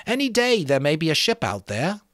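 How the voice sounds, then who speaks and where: Man playing an earnest, high-voiced schoolboy chief. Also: wildly swooping pitch, a little fast, a bit bright, no room sound, clean studio sound.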